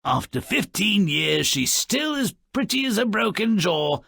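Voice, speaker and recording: An actor playing a small, malicious, sardonic storybook grouch in a video game, raspy and gravelly, narrating dark fairy tales with theatrical, sneering mockery. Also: male voice, high-pitched, big pitch swings, measured pace, no room sound, studio quality, exaggerated voice. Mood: sardonic storytelling. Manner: theatrical, sneering, mocking, ornate vocabulary.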